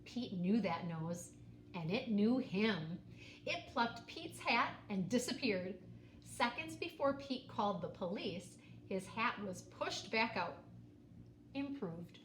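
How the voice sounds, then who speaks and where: A woman storyteller reads a children's book with very lively pitch and dramatic emphasis on key words. Her voice echoes in the room, with faint noise behind it. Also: slow pace. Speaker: storyteller reading a picture book aloud. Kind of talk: expressive children's read-aloud narration. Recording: roomy echo, faint background noise.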